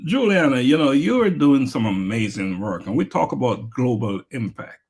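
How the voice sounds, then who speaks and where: A man's voice: medium pitch, very animated, moderate pace, a little mellow, husky. A man hosting an interview podcast in a plain, mid-range voice. He talks with upbeat emphasis, lifting his voice to welcome and prompt his guests. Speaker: podcast host.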